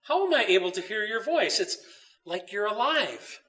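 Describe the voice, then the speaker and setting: A man's voice: high voice, big pitch swings, moderate pace, bright, forceful tone, far from the mic, pitched above the performer's own voice, full character voice. A man voicing a curious, easily startled young boy in a children's story, asking things with open wonder. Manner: wondering, exclamatory.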